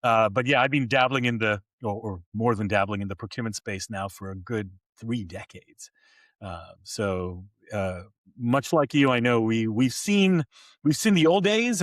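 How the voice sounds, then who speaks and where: A man's voice: medium pitch, a bit bright, no room sound, studio quality. A man in his later years who grew up in Hong Kong, answering interview questions thoughtfully with frequent restarts. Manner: thoughtful, many fillers and restarts. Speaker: podcast guest.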